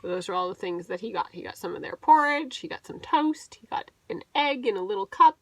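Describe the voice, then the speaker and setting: A woman's voice: mid-range voice, lively intonation, a little fast, fairly bright tone, no room sound. A woman teacher reading a picture book aloud to young children, expressively and with little explanatory asides.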